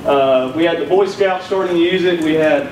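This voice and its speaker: A man with a Southern drawl tells folksy stories at a conference keynote, his voice a little high for a man. He talks into a handheld mic in a meeting room, caught from far back so it sounds roomy and distant. A steady hum of room noise sits underneath. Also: moderate pace. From South Carolina. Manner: folksy storytelling with humor. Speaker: keynote speaker. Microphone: handheld mic, recorded from far back.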